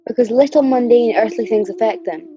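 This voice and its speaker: A woman's voice: on the high side, fairly quick, mellow, muffled recording, lightly acted. A woman playing a miserly, scornful old man in a radio play, with a curt, grumbling delivery. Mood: frightened, defiant.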